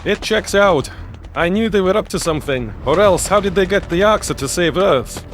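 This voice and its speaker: Man doing a high-pitched brash young-sounding soldier. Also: animated, normal speed, slight background noise. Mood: insistent. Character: brash human male soldier, challenging, insistent. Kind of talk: audio story character dialogue.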